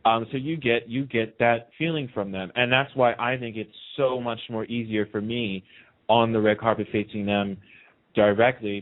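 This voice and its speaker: A man chatting casually and enthusiastically in an interview, telling anecdotes and acting out the dialogue he quotes. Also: fairly low pitch. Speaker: radio host being interviewed as a guest. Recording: band-limited, lo-fi phone-in radio sound.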